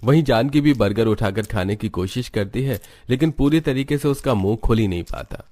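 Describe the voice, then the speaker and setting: A man reading scripted narration for an animated family story. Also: medium pitch, fairly quick.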